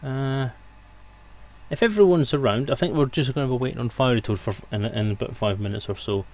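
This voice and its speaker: A man's voice: mid-range voice, brisk, a little mellow. A man hosting a live stream in casual, unscripted, rambling chat.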